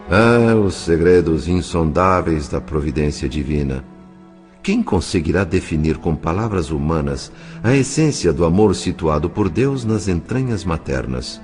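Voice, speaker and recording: A man with a Brazilian accent playing a compassionate spirit narrator, telling the story in a solemn, measured, expressive way. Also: sits low. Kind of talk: scripted dramatic narration. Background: music.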